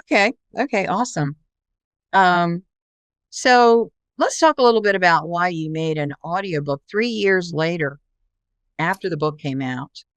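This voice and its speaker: A woman's voice: lowish voice, unhurried, dry recording. A woman hosting a conversational interview, responding in an encouraging, affirming way. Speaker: interview host.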